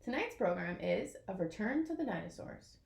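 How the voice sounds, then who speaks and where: A woman reading a children's picture book aloud in an expressive way, as if to young listeners. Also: mid-range voice, moderate pace, creaky, reverberant. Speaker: storybook reader.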